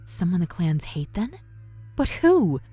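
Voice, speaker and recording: A woman playing a caring, cautious and scholarly young woman in an audio roleplay drama, with a gentle, pensive manner. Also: on the low side, very animated, measured pace, breathy edge, dry recording, soft background music, full character voice.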